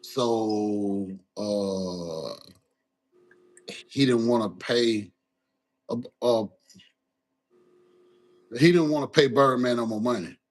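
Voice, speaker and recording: A rapper, talking in a casual interview, halting with many fillers and restarts. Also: male voice. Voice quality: deep voice. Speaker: guest, rapper.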